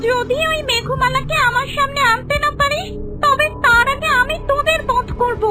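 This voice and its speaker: A woman's voice: extremely high pitch, steady tone, normal speed, distant mic, over music. A woman voicing a menacing evil female demon in a cartoon fairy tale, scolding and threatening, craving immortality. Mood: angry, commanding.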